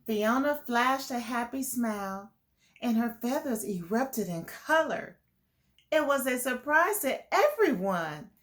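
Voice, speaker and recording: A woman telling a children's story in an expressive, deliberate way, as host speaking to young listeners. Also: fairly high pitch.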